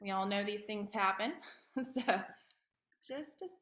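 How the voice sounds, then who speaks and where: A woman's voice: mid-range voice, measured pace, fairly bright tone, reverberant. A middle-aged woman informally hosting a virtual event from home in a casual, conversational way. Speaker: event host.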